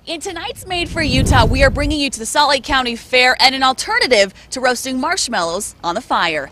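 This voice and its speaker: A woman's voice: high-pitched, lively intonation, a little fast, pushed and bright, slight background noise. A woman narrating a scripted local television news feature as a reporter.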